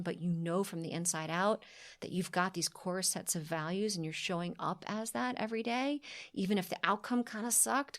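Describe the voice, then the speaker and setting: An exuberant woman in her forties talks on a casual podcast, her voice at a middle pitch. She speaks emphatically and with energy, often speeding up into quick bursts. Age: 40s. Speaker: podcast guest, fitness company founder.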